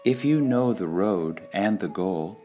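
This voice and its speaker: Slow, deep-voiced man reading spiritual sayings. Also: lively intonation, mellow. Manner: measured, deliberate, with pauses between short phrases. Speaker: reader of spiritual quotations. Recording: music under the voice. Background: background music.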